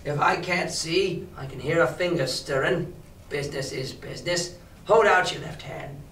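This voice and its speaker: A man's voice: a little high, normal speed, a bit bright, lightly acted. A man voicing a cruel, sly old blind beggar in a storybook, shifting between wheedling and hard commands. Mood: cold, menacing. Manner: odd sing-song wheedle that turns to hard commands. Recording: roomy, distant mic with background noise.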